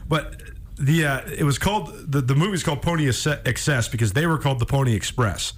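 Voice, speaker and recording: A male American sports radio host with a mid-pitched voice. He chats casually and tells stories with conversational emphasis. The setting is a radio studio. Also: brisk, breathy edge. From Montana, United States. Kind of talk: casual radio chat, storytelling.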